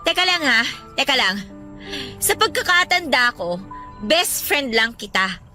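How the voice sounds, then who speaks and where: A woman's voice: sits high, sing-song, measured pace, bright, forceful tone, exaggerated voice. A woman playing a defensive, resentful student snapping sarcastically at a best friend in a radio drama. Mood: defensive, sarcastic. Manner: sarcastic, confrontational. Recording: music bed under the voice.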